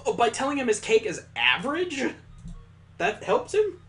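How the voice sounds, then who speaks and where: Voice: mid-range voice, huge pitch swings, moderate pace, fairly bright tone, faint music behind. A gaming host giving playful, incredulous commentary with some swearing while reading a visual novel aloud.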